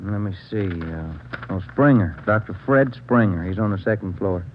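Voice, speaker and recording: An actor playing an agreeable American police sergeant in an old radio drama, plain-spoken and polite. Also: male voice, fairly low pitch, expressive, normal speed, natural voice. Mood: casual. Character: agreeable, easygoing male police sergeant, junior partner. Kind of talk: 1940s-50s radio police drama acting. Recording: tinny old radio recording, faint noise.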